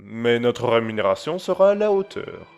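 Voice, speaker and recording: A man's voice: mid-range voice, clear, resonant tone, highs cut off. Guy doing a cold formal crime boss, voice rises and falls a lot, music under it. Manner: calm, coldly formal, measured. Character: cold scheming male crime boss, middle-aged. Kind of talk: amateur audio drama acting.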